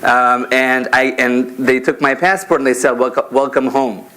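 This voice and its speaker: A man's voice: mid-range voice, steady tone, moderate pace, sharp tone, low-quality recording. A man from Israel in his thirties moderating a panel informally, with a hesitant, joking way of introducing speakers. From Israel, born in America. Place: conference panel before an audience.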